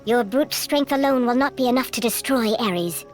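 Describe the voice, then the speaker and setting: High-voiced, portentous oracle played by a woman. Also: normal speed, music in the background, close to an everyday voice. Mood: grave, warning. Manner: dramatic, portentous. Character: urgent, stern female oracle of an ancient temple. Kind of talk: dramatic video game acting.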